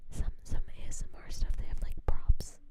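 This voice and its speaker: A woman VTuber streamer whispering through a relaxed ASMR chat, rambling and joking about herself, on a standard non-binaural mic. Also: moderate pace. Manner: rambling, self-mocking, giggly.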